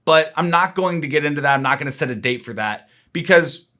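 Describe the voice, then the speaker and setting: A man's voice: fairly high pitch, lively intonation, fairly quick, pushed and bright. A man giving informal market commentary, explaining his opinions in a conversational, analytical way.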